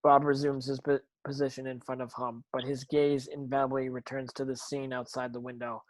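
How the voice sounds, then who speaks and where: A man's voice: medium pitch, little pitch movement, brisk, poor audio. A man reading stage directions as narrator in an amateur table read, occasionally tripping over words and rereading them.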